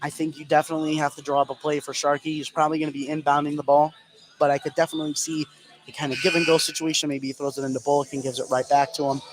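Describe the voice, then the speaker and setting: An American man doing live basketball play-by-play, getting excited and stretching his words on big plays. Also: a little high, racing, music in the background, poor audio. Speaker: sports play-by-play announcer. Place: indoor high school gym. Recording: clear gym noise behind the voice. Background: gymnasium ambience.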